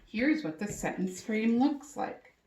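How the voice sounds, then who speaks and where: A woman teacher reading a storybook aloud to young children, expressively, pausing to ask questions and explain things simply. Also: on the high side, lively intonation, a little mellow, slight background noise. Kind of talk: interactive storybook read-aloud and lesson. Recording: echoey, roomy sound.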